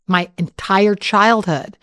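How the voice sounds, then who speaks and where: A middle-aged woman speaking candidly in an interview, pausing and restarting as she searches for words. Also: mid-range voice, fairly bright tone, clean tone. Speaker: interview guest. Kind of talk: personal interview talk.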